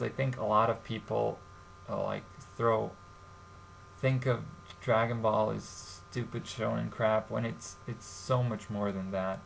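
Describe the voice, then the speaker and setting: A young man from Serbia talks through a casual commentary vlog on a home microphone. His mid-pitched voice has a gravelly edge, and he speaks haltingly, with many fillers and restarts. A faint hiss of noise sits under the recording. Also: poor audio. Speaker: YouTube channel host.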